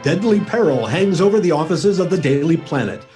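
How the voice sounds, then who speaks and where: Man as bright, melodramatic radio serial announcer. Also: a little high, expressive, a little fast, soft background music, natural voice. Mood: ominous, dramatic. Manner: melodramatic, suspenseful. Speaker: announcer, narrator. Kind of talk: old radio serial narration.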